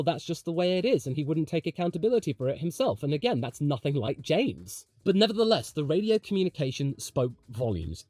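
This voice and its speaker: A man's voice: medium pitch, mellow, no room sound. A man hosting motorsport commentary, delivering opinionated scripted analysis with emphatic rhetorical questions and wry asides. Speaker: host of motorsport commentary show.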